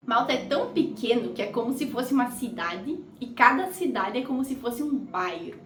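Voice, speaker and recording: A woman's voice: fairly high pitch, recorded from a distance, slight background noise. A young woman from Brazil, chatting casually in a travel vlog with jokey asides. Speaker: vlogger. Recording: roomy echo, off-mic.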